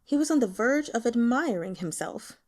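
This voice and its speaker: A woman reading a novel aloud as an audiobook narrator, with expressive, dramatic storytelling. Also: fairly high pitch, normal speed. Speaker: audiobook reader.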